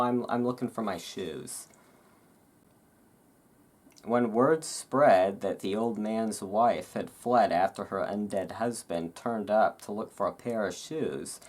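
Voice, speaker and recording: A host reading aloud in a relaxed ASMR style, with informal, self-deprecating asides. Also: low-pitched. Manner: informal, self-deprecating over hard words. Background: thunderstorm ambience.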